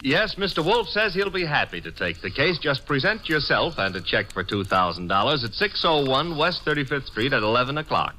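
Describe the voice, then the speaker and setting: An actor playing a brash, wisecracking young detective's assistant with breezy, slangy patter in a 1940s radio drama. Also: male voice, medium pitch, sing-song, a little fast, hardly any pauses, pushed and bright. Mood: brisk, wry. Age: young adult. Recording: noisy vintage radio recording with clicks.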